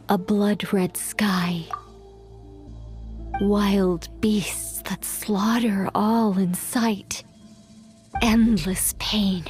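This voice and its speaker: Very slow, ominous prophetess. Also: female voice, mid-range voice, darkish tone. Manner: solemn, drawn-out, breathy. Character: ominous, solemn female prophetic narrator of doom. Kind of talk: dramatic video game voice acting.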